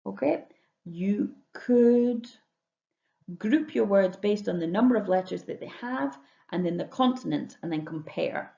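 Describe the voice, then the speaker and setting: A woman's voice: medium pitch, lively intonation, unhurried, gravelly, warm tone. Lady teacher explaining schoolwork step by step, asks little check questions, roomy echo and dull lo-fi sound. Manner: instructional, checking understanding with questions. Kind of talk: explaining home learning tasks to pupils.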